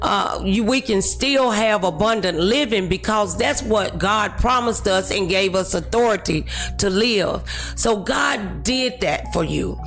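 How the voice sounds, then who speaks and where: Female American talk show host, encouraging and preacherly. Unhurried delivery with some gravel, music underneath. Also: mid-range voice, a bit bright. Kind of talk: motivational talk show conversation.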